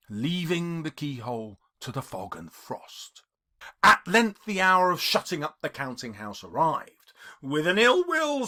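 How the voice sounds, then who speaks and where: A man's voice: high-pitched, bright, forceful tone. A man reading a classic story as an audiobook, with theatrical, emphatic phrasing and dramatic stresses. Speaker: audiobook reader, English teacher. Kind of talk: dramatic scripted audiobook narration.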